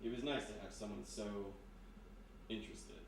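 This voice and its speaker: An actor playing a sinister, courteous hypnotist in a live radio mystery, speaking in a measured, insinuating way. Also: male voice, fairly low pitch, fairly even intonation, vocal fry. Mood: calm, confiding. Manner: measured, insinuating, polite, deliberate. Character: sinister soft-spoken male hypnotist, calmly menacing, courteous. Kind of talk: live 1940s-style radio mystery acting. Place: live performance in a historic house room. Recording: roomy distant live recording, background noise.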